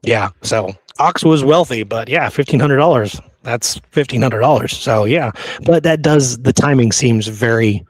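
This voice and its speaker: A man's voice: mid-range voice, measured pace, no room sound. A man hosting a history podcast, telling the story in an informal, conversational way and often checking in with the listener. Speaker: podcast host.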